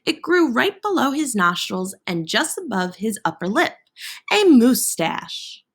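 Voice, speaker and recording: A woman reads a children's picture book aloud in a fairly high voice. She takes her time, pausing for effect and leaning on playful words. Her pitch moves widely, giving the narration a theatrical storytelling feel. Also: very animated. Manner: theatrical, dramatic pauses and emphasis on alliterative words. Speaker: storybook reader.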